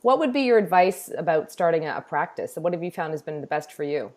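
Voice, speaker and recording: A woman's voice: deep voice, animated, quick pace, a little mellow. Chatty, upbeat woman podcast host. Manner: enthusiastic, chatty. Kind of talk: casual interview chat. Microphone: remote call setup.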